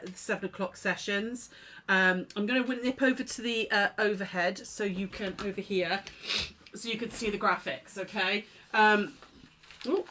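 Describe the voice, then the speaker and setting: A middle-aged woman with a stuffy, snuffly nose, chatting casually to live viewers from her sewing studio as a craft shop host. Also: mid-range voice. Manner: chatty, warm greetings to viewers, many fillers. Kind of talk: casual live-stream chat. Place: unheated home sewing studio.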